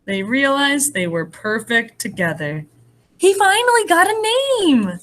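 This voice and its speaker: A woman with a fairly high voice teaches first graders, reading aloud and explaining things to them. Her pitch moves around a great deal as she talks. Her manner is encouraging and clearly aimed at young children. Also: a little slow. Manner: animated, encouraging, child-directed. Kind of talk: read-aloud and lesson addressed to young children.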